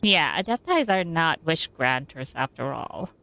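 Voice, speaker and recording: Low-voiced woman streaming a game. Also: very animated, a little slow, gravelly, bright, forceful tone. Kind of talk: casual live gameplay commentary.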